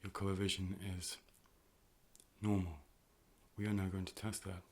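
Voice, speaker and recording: Man playing a low, monotone AI optician. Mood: calm, clinical. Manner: deliberate, measured, formal, deadpan robotic phrasing. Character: calm robotic AI hologram optician, clinical and polite. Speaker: ASMR role-play performer as optician. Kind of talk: ASMR medical role play, personal attention.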